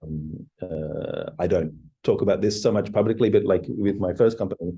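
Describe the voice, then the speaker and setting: A man's voice: sits low, moderate pace, warm tone. A man in his forties, a company founder answering interview questions in a hesitant, reflective way, full of fillers and restarts. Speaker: startup CEO guest.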